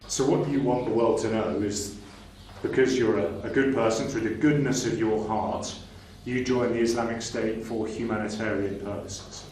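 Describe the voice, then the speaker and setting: A man's voice: on the low side, steady tone, moderate pace, darkish tone. Distant echoey male interviewer. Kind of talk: challenging interview questioning. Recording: roomy, off-mic, slight background noise.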